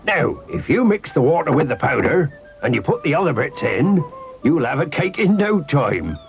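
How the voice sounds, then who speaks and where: Old seafaring neighbour, jolly, folksy, children's story, high swooping voice. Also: male voice, big pitch swings, moderate pace, vocal fry, lo-fi sound, faint music behind. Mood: helpful, cheery. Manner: chuckling, folksy, nautical exclamations.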